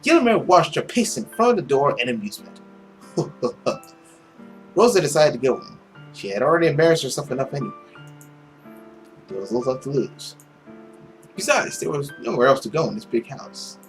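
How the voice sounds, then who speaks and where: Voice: medium pitch, big pitch swings, a little fast, long pauses, soft background music. A playful, theatrical narrator reading a story aloud, stumbling now and then and dropping in joking asides. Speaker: let's play narrator reading a visual novel.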